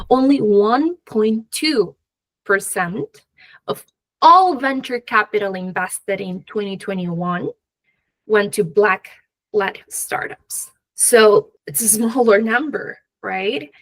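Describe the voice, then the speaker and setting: Slow-paced Peruvian woman speaking in conversation. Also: mid-range voice, low-quality recording. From Peru. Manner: halting, often laughing lightly mid-sentence. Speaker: guest expert, venture capital professional. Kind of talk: conversational expert interview.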